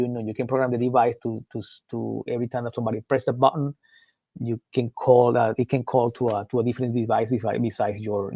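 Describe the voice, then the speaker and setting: A man giving an informal technical product presentation as a webinar presenter, haltingly, often repeating and rephrasing his words. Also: mid-range voice, mellow, no echo.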